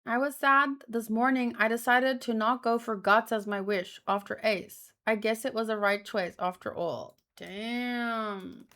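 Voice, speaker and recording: Woman, higher-pitched voice, unhurried pace, casual streamer chat, rambling, exclamatory. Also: pushed and bright. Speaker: manga read-along streamer. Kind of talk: casual reaction chat, thinking aloud.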